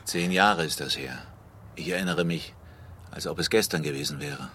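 An actor playing a brooding, probing gentleman detective in a radio play, speaking formally and in measured phrases. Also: a bass voice, a little hiss or hum.

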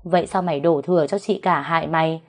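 A woman's voice: sits low, quick pace. A woman voicing a young man, blunt but teasing, in a romantic story narration. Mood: blunt, firm. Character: young man, medical student, blunt yet teasing lover.

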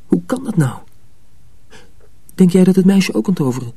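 An actor playing a wary, troubled young miller's apprentice in a radio drama, questioning and guarded, with a soft, husky voice. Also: male voice, sits high, very animated, moderate pace, dry recording, full character voice. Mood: puzzled.

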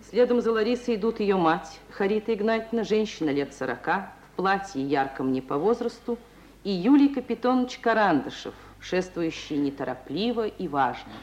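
A woman's voice: mid-range voice, lively intonation, moderate pace, darkish tone. A woman reading scripted narration of the action in a 1950s radio play. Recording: old radio recording, faint hiss.

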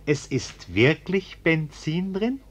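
An actor playing an anxious, ingratiating middle-aged homeowner in a German radio play, over-polite, hesitant and falsely jovial. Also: male voice, on the high side, big pitch swings, slow, full character voice. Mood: nervous joviality. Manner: hesitant, over-polite, chummy, nervous chuckling.

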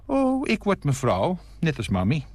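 A man's voice: fairly low pitch, sing-song, moderate pace, breathy edge. A man voicing a small boy in a storybook reading, frank, confiding and innocently matter-of-fact. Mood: offhand.

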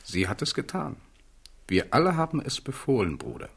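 A ruthless young prince in a radio play. He is husky and urgent, with big swings of pitch and formal, insistent phrasing. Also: wildly swooping pitch, moderate pace, fairly bright tone, exaggerated voice. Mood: grave. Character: ruthless young prince urging his royal brother to bloodshed. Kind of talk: German radio drama acting.

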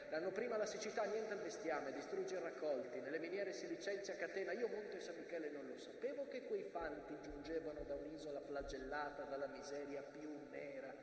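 A stage actor playing a proud, brooding mountain that remembers a war, declaiming emphatically with long dramatic pauses. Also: male voice, fairly high pitch, lightly acted. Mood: bitter, ironic. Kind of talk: theatrical stage monologue in rhyming prose. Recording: echoey hall from far off, noisy.

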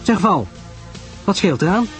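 A man playing a blunt, commanding husband with a quick temper in a mystery audio drama. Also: sits high, big pitch swings, fairly bright tone, soft background music, pitched above the performer's own voice, exaggerated voice. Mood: alarmed.

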